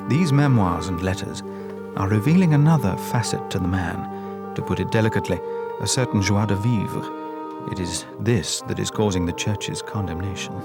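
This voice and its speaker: An actor playing a thoughtful investigator, narrating a dramatised documentary in a measured, reflective, formal way. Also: male voice, on the low side, vocal fry. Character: thoughtful male investigator narrating his research into old memoirs. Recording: music under the voice.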